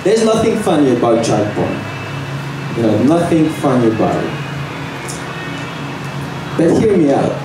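A young man from Algeria doing a stand-up set at an open mic, delivering jokes deadpan with halting fillers and nervous laughs, on a handheld mic in a small bar. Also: medium pitch. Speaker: stand-up comic. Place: small bar stage indoors. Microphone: handheld mic, filmed from far camera. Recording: echoey room from far off, noisy.

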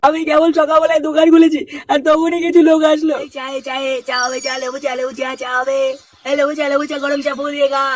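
Someone playing a whiny high-voiced cartoon tea seller. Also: level tone, fast, natural voice. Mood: wailing, distressed. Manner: whining, repetitive, pleading. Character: poor, pleading, put-upon street tea seller, an older uncle. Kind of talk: Bengali comedy cartoon voice acting. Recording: noisy, distant pickup with clicks.

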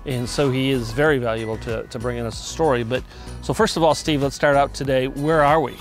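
A man's voice: medium pitch, steady tone, brisk, soft background music. An older man, a realtor hosting the show, asking friendly questions in an outdoor interview at a construction site. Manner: agreeable, prompting questions.

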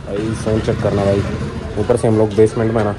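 A man's voice: lowish voice, level tone, fairly quick. A young man chatting casually and slangily to viewers as a motorcycle vlogger. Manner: informal, slangy, repetitive bro-talk. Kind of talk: casual vlog chat to viewers. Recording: loud motorcycle engine and traffic noise.

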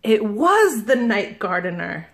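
A woman, a children's librarian, reading a picture book aloud slowly and gently, stopping to ask the listeners questions. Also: mid-range voice, very animated. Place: indoors at a table, camera above the book.

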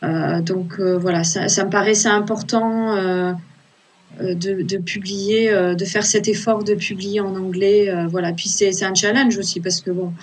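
A woman's voice: medium pitch, steady tone, moderate pace, husky, low-quality recording. A woman in her thirties, an archaeological illustrator, talking casually on a video call from a small room at home, with many fillers and restarts. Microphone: laptop webcam on a video call.

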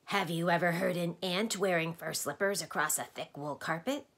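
A woman voicing a hoarse, croaking male doctor of noise who pesters visitors with insistent questions. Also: on the low side, moderate pace, fairly bright tone. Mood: gleeful, insistent. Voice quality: raspy, croaking.

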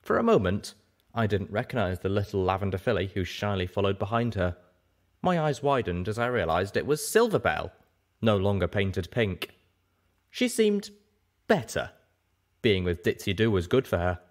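A man's voice: lowish voice, very animated, moderate pace. A man narrating a scripted story as a dramatic audiobook reader.

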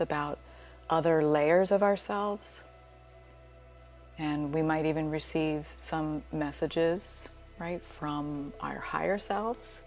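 Low, slow-spoken woman guiding meditation. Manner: soothing, unhurried, gently inviting. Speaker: yoga nidra teacher leading a guided relaxation. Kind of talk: guided meditation.

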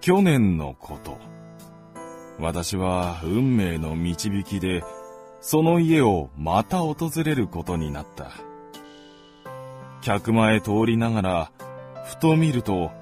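A man playing a gentlemanly visitor who narrates his recollections in a literary radio drama. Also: sits low, big pitch swings, slow pace, long pauses, faint music behind, exaggerated voice. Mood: reflective.

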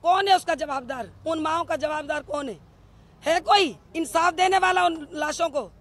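A woman's voice: high-pitched, normal speed, fairly bright tone. A middle-aged woman, speaking outdoors into a bank of press microphones, accusing and demanding answers with rhetorical questions. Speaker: bereaved mother speaking to press. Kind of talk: emotional press statement. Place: outdoors in a crowded street. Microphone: cluster of press microphones.

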